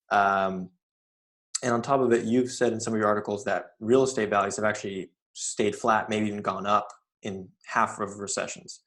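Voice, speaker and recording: Man, somewhat low pitch, interview host, measured, analytical, questions mixed with commentary. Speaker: interview host, real estate investor.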